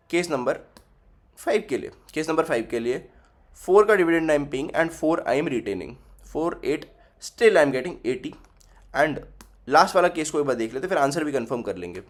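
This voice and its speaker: A young man teaching a finance class, working through the numbers aloud and repeating figures as he goes, switching between Hindi and English. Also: fairly high pitch. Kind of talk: recorded finance lesson.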